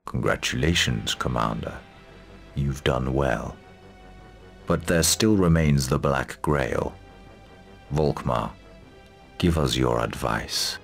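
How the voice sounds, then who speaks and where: An actor playing a grave, stately ruling lord in a video game cutscene, speaking solemnly and with authority. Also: male voice, very deep voice, takes it slowly, gravelly, long pauses, soft background music, exaggerated voice. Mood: solemn, commanding.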